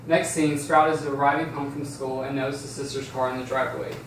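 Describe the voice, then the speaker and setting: A man's voice: mid-range voice, flat delivery, a little fast. Man reading a script, very echoey and distant. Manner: stumbles and restarts while reading. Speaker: reader of stage directions at a table read. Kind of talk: scripted narration read aloud. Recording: very echoey room, distant mic, background hiss.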